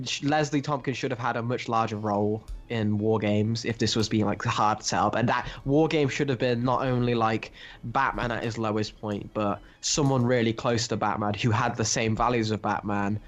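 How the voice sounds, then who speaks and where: A man co-hosting a casual analytical discussion, giving his opinions and thinking aloud as he builds his argument. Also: fairly low pitch. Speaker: podcast co-host discussing comics.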